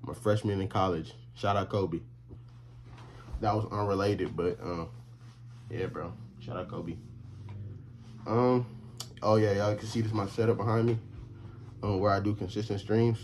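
Deep-voiced teen guy vlogging on a phone. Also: fairly even intonation, normal speed, pauses often, darkish tone, slight background noise. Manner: rambling and slangy, lots of fillers, talks to chat. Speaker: college student vlogger and streamer. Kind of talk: casual vlog chat to camera. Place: small bedroom and bathroom indoors. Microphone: phone camera held at arm's length or propped.

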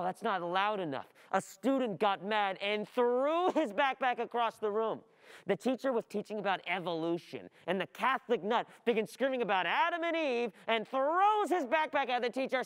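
A woman's voice: a little high, animated, normal speed, natural voice. A young woman acting an anxious, overwhelmed, easily distracted student in an agitated, rambling theatrical monologue. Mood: restless, speculating. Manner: agitated, rambling inner monologue, repeating words. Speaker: actor playing a student. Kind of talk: theatrical radio-play monologue. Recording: long hall reverb of a stage.

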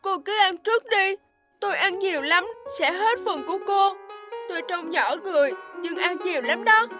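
A woman voicing a tiny, polite and brave little boy in an animated fairy tale. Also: very high voice, moderate pace, music in the background.

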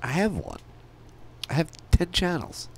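A man's voice: fairly high pitch, huge pitch swings, a little slow, creaky, slight background noise. A man in casual podcast chat as a co-host, colloquial and questioning, speaking into a close mic in a small studio.

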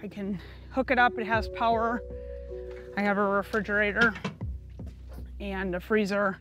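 A woman in her thirties, a paramedic, speaking in a plain-spoken, steady, matter-of-fact way in a documentary interview. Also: medium pitch, moderate pace, dull audio, over music.

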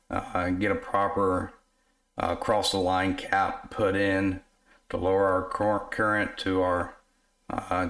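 A man with a deep, flat voice that is worn hoarse from being sick. He talks in a relaxed, unscripted way at his repair bench, full of pauses and fillers as he works. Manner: relaxed, filler-heavy explaining while working. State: hoarse from illness. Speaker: radio repair technician. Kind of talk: casual workshop narration. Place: workbench in a home repair shop.

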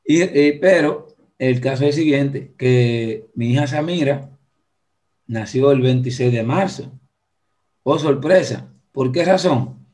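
Chatty Dominican host on a video call. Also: male voice, medium pitch, unhurried, a little mellow. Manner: chatty, rambling storyteller. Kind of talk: casual interview chat. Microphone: video call, earphone mic.

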